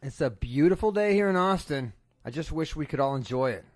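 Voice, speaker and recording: A man playing an earnest radio newsman in an audio drama, solemn and measured as he broadcasts from a disaster. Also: fairly high pitch, expressive, no echo, clean studio sound, lightly acted. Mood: solemn, hopeful. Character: earnest male radio newsman reporting an apocalypse. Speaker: radio news broadcaster and host.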